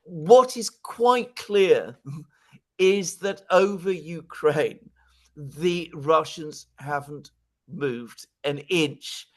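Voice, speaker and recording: A man's voice: fairly high pitch, extremely slow. A man giving conversational political analysis as a guest commentator, speaking emphatically and repeating words for stress.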